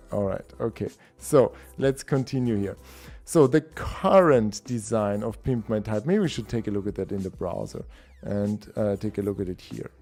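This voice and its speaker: Hoarse, enthusiastic man. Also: fairly low pitch, mellow. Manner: enthusiastic, chatty, with laughs and restarts. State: hoarse, a bit unwell. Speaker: host of a typography livestream. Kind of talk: casual live-stream chat.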